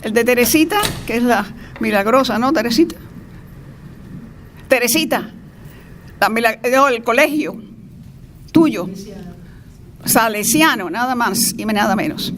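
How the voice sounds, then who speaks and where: A woman's voice: on the high side, expressive, measured pace, pauses often. An older woman with a Cuban accent giving an informal, chatty introductory speech with playful asides. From Cuba. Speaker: speaker introducing a lecturer. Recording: noisy live event recording.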